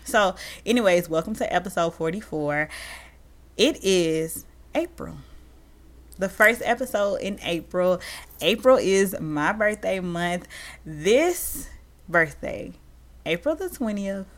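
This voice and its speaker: A woman's voice: mid-range voice, takes it slowly. A thirty-year-old woman hosting her own podcast, talking casually and with animation straight to her listeners. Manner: animated and chatty, addresses listeners as y'all. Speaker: podcast host. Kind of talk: casual solo podcast chat.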